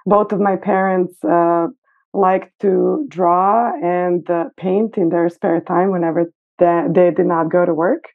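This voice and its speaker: A woman's voice: lowish voice, level tone, measured pace. Woman over a tinny phone-like line. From Serbia. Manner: thoughtful, hesitant with fillers. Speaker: guest costume designer. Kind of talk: casual interview. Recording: phone-like remote call.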